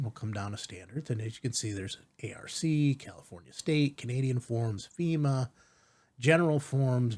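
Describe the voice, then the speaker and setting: A man hosting a casual step-by-step software tutorial, explaining as he goes and often checking in with the listener. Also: mid-range voice, breathy edge. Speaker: tutorial host.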